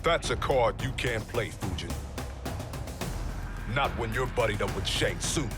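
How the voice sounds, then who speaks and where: An actor playing a gruff, bitter American soldier in a video game, with a blunt, folksy delivery. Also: male voice, medium pitch, animated, a little slow, close to an everyday voice. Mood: defiant. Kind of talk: dramatic video game acting. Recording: dramatic music behind the voice.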